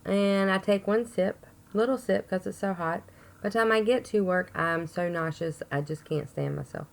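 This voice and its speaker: A woman with a hoarse voice from a chest cold, rambling chattily to camera in a casual update video with plenty of ums. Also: medium pitch, normal speed. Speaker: vlogger.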